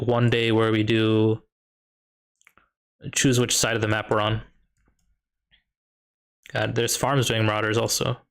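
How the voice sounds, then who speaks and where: A young man from the US, a high school math teacher, chatting casually while playing a strategy game and answering viewers' questions. He thinks aloud with loose fillers and a light Chicago lilt. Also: a bit bright. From United States. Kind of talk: casual live-stream chat while gaming.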